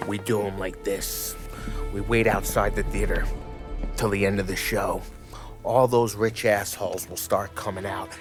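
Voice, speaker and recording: A man's voice: on the low side, flat delivery, measured pace, over music, natural voice. A man playing an aggressive, hot-headed mob henchman in a video game. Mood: scheming. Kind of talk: cinematic video game acting.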